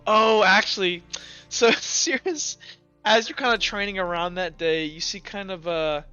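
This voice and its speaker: A man running a tabletop game, improvising narration in a halting, informal way. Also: sits high, sharp tone, clean tone. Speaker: game master. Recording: slightly muffled, music bed behind.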